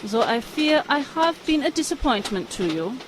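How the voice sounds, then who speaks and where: A woman's voice: high voice, very animated, moderate pace, slight background noise. A woman playing a cunning, composed German spy.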